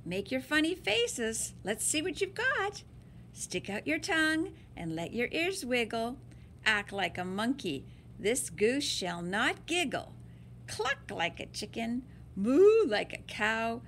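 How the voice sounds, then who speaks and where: Female picture-book reader for children, emphatic and playful, with lively swings in pitch over faint noise. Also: slow pace. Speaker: story-time reader. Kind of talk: expressive picture-book read-aloud for children.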